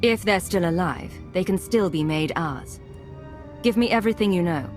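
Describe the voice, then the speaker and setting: Actress doing cool female agent in charge, lowish voice, controlled, game cutscene with music behind. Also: normal speed, a little mellow, close to an everyday voice. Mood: cool, decisive. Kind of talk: video game cutscene acting.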